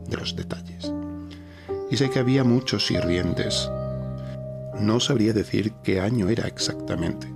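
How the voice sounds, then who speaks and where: A man with a low voice and a gravelly creak reads gothic horror like an audiobook. His delivery is solemn and measured, and clear background music plays under the narration. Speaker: podcast narrator reading a horror short story. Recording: narration over a music bed.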